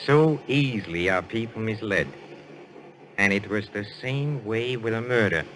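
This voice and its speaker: A low-voiced detective in an old radio mystery. He is suave and sure of himself, laying things out with courtly, deliberate care. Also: a bit bright, low-quality recording. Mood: patient, explaining. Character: suave, assured French detective, male, posing as a medium.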